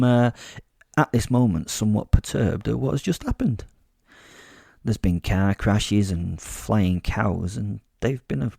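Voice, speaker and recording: A man with a low, mellow voice and a gravelly edge tells a darkly comic horror story as a sardonic werewolf. He talks straight to the listener, wry and sweary, in a close, dry recording. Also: no room sound. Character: sardonic, sadistic male werewolf telling his story. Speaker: podcast host and storyteller. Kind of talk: comic first-person horror storytelling, in character.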